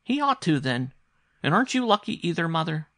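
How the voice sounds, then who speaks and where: Storybook small boy, played by a man: raised pitch, earnest, timid but stubborn questioner. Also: very animated, a little fast, bright, forceful tone, no echo, studio quality, full character voice. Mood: insistent, wondering. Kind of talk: literary audiobook character voice.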